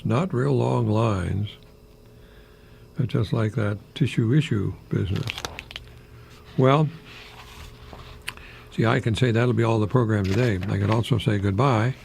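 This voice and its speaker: An older man hosting a radio monologue, speaking haltingly in a whimsical, self-deprecating way with a husky, gravelly voice. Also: medium pitch, darkish tone, a little hiss or hum. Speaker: radio host, retired professor. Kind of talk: rambling humorous radio monologue with poetry readings.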